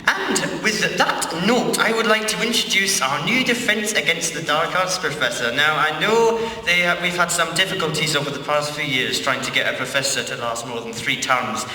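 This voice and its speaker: A man's voice: a little high, normal speed, hardly any pauses, bright, forceful tone. A man playing a pompous, tactless school head making grandiose speeches in a comic amateur play, recorded from afar in a very echoey hall. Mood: formal. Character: pompous, blunt school head addressing students. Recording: very echoey hall, distant mic, faint noise.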